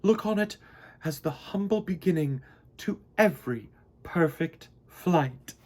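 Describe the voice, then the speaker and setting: A regal queen bee from a children's storybook, acted out by a man. The voice is pitched high and lifted, with lively swoops and a gracious, royal manner. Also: unhurried. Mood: solemn, inspiring.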